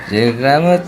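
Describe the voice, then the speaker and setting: A man with an Ilocano accent acting a jovial married drinking buddy in a radio drama. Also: mid-range voice.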